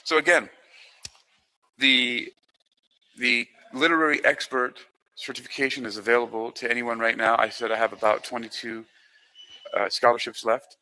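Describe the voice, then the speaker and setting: A man hosting an informal explanatory talk, speaking in a halting, rambling way and often repeating himself. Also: mid-range voice, fairly even intonation, bright, forceful tone, rough recording. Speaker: host presenting a literary certification program.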